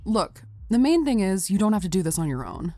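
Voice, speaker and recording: A woman's voice: medium pitch, sing-song, brisk, warm tone, dry recording, natural voice. Woman playing a brash, teasing young brawler. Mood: reassuring. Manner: teasing, blunt, easygoing. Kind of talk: audio drama roleplay.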